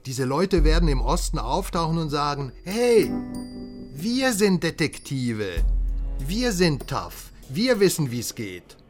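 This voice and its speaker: A fairly high, very animated male voice plays a pushy West German journalist. He is emphatic and excitable, moving between confiding and exclaiming. It is radio drama, with faint music behind. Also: slow pace. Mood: confiding, eager. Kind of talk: radio crime drama dialogue.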